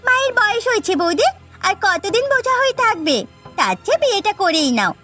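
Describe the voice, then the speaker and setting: Woman playing young sister-in-law, very high pitch, smug, needling, pushy, cartoon drama, music behind. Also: big pitch swings, a little fast, pushed and bright, heavily acted. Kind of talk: Bengali cartoon family drama acting. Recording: background music.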